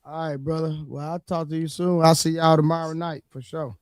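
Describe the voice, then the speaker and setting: A man hosts a nightly live stream in a relaxed, slangy way. His voice sits a little higher than most men's and sounds dry and close to the mic. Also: brisk, smooth, dry recording. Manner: laid-back and slangy. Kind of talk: casual live-stream chat.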